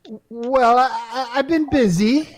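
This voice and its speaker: A woman's voice: fairly high pitch, a little slow, darkish tone. Woman playing a flustered, stammering henpecked husband. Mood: bashful panic. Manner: stammering, hesitant. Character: flustered, henpecked married repairman Frank, a nervous everyman. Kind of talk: radio comedy acting.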